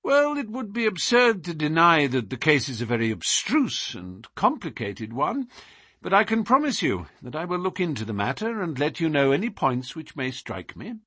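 A man voicing a brilliant, self-assured gentleman detective from a storybook, musing in a precise, declamatory and philosophical way. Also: a little high, wildly swooping pitch, normal speed, breathy edge, no room sound, voice pushed up, full character voice. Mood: wry, assured.

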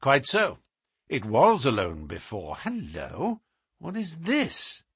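A man's voice: fairly high pitch, very animated, normal speed, a bit bright, slightly rough, no room sound, clean studio sound, heavily acted. A man voicing a self-assured, courteous but commanding detective in a narrated mystery story. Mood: brisk, pleased.

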